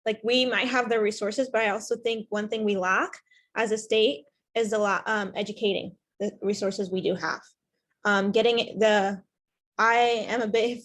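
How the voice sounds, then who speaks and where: A young woman from Ethiopia whose first language is not English, speaking earnestly on a panel about foster care with many fillers and restarts. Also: mid-range voice. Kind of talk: panel discussion.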